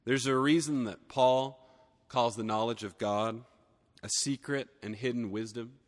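A man preaching a sermon, a little hoarse from a cold, earnest and building emphasis on key phrases. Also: medium pitch, steady tone, a little slow, long reverb tail. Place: church sanctuary.

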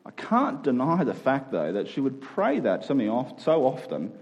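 A man's voice: medium pitch, huge pitch swings, moderate pace, darkish tone, slightly rough, dull audio. A man preaching a conversational sermon, earnest, repeating key phrases for emphasis.

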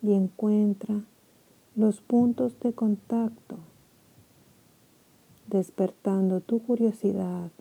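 Slow, dark-voiced woman guiding meditation. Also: medium pitch, creaky, husky, rough recording. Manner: slow, soft, soothing with long pauses. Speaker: meditation guide. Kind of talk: guided sleep meditation.

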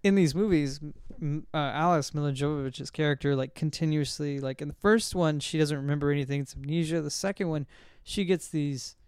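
A man with a fairly high, clear voice in a dry, close recording. He talks as a casual podcast host, rambling through his points and circling back to correct himself. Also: fairly quick, hardly any pauses, darkish tone, dry recording. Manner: rambling, self-correcting, hesitant recall. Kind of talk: casual discussion of films.

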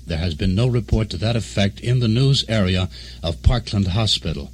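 A newsman with a rough, husky, lowish voice gives an unscripted live update. He is measured and cautious with his wording. It has the sound of a 1960s radio broadcast.

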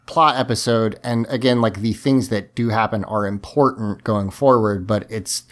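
A man's voice: on the low side, fairly even intonation, moderate pace. A man hosting a casual podcast discussion, talking a lot and emphatically while his voice is giving out. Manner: emphatic, talkative. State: losing his voice. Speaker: podcast host.

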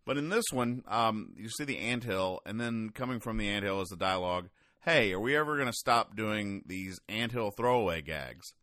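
A man hosting a podcast, giving casual, wry comedic commentary in a deadpan way. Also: lowish voice, normal speed, no room sound, studio quality. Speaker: podcast host. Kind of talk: casual comedic commentary, explaining comic strips.

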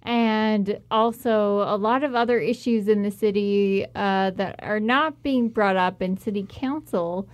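A woman's voice: medium pitch, a little slow, hardly any pauses, no room sound. A woman co-hosting a casual talk show, teasing and asking questions. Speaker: co-host and comedian.

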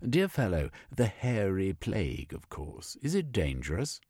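Man doing a blustering gentleman explorer, jaunty, lively lilt, husky. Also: low-pitched, measured pace, dry recording, clean studio sound, pitched above the performer's own voice, full character voice. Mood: pompous. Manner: jaunty, upper-class bluster. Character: pompous, bluff gentleman explorer.

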